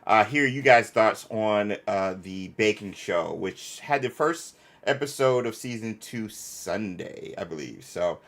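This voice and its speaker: A man's voice: lowish voice, animated, measured pace, a bit bright. A man hosting a livestream, chatting casually and playfully with viewers in informal slang. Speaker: live stream host.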